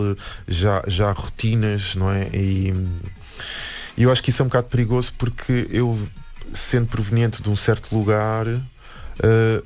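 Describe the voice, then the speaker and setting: A man's voice: fairly low pitch, a little mellow. Hesitant, thoughtful man. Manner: hesitant, many restarts and "não é?". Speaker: musician and anthropologist guest. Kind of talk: reflective interview talk.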